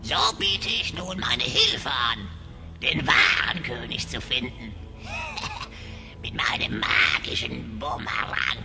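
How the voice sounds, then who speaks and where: A man's voice: medium pitch, wildly swooping pitch, slow pace, heavily acted, low-quality recording. A man playing a sly, cackling hyena spy who speaks in theatrical rhymes in a fantasy radio play. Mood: wheedling. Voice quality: creaky. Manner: theatrical rhyming speech, breathy cackles. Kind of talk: German children's fantasy radio play acting. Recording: voice over music.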